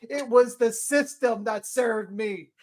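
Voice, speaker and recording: Woman acting out a high-pitched, flustered courtroom litigant. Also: darkish tone. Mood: flustered, aggrieved. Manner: halting, repetitive, protesting. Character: flustered, indignant female litigant pleading her case in court. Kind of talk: dramatic reading of a court hearing.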